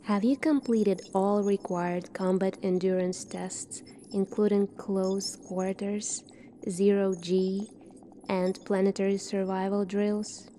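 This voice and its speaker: A soft-spoken woman doing an ASMR roleplay as a calm military doctor, asking questions in a measured way. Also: mid-range voice, slight background noise. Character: calm seasoned future military doctor examining a space recruit.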